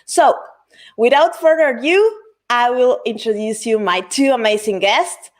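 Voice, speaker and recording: A fairly high-pitched woman hosts a relaxed online chat show. Her delivery is upbeat, with a lively, rising intonation. She comes through on a video call. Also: a little slow. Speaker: host. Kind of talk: casual livestream chat.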